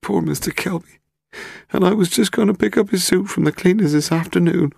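Man as a distraught housekeeper woman, high raised voice, tearful, faltering, dry audiobook recording. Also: brisk, gravelly, a little mellow, no room sound, full character voice. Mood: tearful grief.

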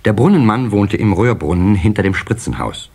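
A man narrating a children's fairy tale as a measured, expressive storyteller in an audio play. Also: lowish voice, breathy edge. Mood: storytelling.

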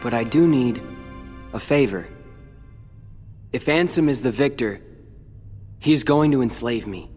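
A man's voice: medium pitch, measured pace, long pauses, a little mellow, lightly acted. An actor playing a brooding, determined teenage boy warrior in a video game, terse, earnest and defiant. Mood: somber, resolute. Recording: long hall reverb, music behind.

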